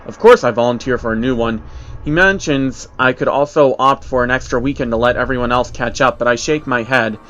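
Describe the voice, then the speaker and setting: A man's voice: medium pitch, brisk, a bit bright, lo-fi sound. A man narrating a scripted first-person horror story with a dramatic storytelling delivery. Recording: music under the voice. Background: music.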